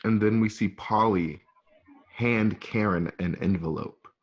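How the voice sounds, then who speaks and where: A man informally narrating stage directions for a script in a halting, casual way. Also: fairly low pitch. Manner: halting, casual, present-tense scene description. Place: at home. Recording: dull, lo-fi home recording.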